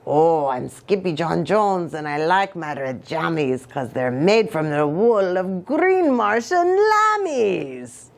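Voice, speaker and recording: An excitable, boastful kitten boy from a picture book, as a woman reads him aloud. The voice is dramatic and sing-song, with big swoops in pitch and a drawn-out pace. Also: gravelly, exaggerated voice. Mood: bouncy, boastful.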